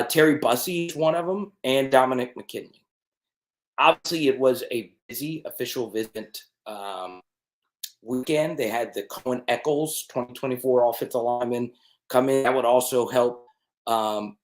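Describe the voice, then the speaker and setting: A man's voice: mid-range voice, normal speed. A man hosting a college football live stream, talking straight to viewers and often checking in with "okay?". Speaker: sports channel host. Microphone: desk mic with changed settings.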